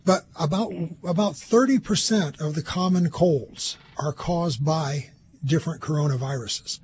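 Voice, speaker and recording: Higher-voiced man explaining, noisy background. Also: unhurried, darkish tone. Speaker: health expert on a radio call-in show. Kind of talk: conversational medical explanation.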